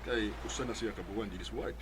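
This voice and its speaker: A serious hospital doctor with a fairly low voice, played by a man. He explains things plainly in a Filipino Bisaya accent. The radio drama sound has clear noise behind him. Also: fast talker, close to an everyday voice.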